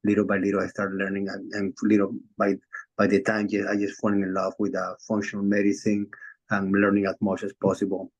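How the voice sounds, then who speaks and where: Low, flat-voiced man from Venezuela. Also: a little fast, highs cut off. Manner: hesitant, many fillers and restarts. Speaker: chiropractor. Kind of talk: casual video call chat. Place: Florida.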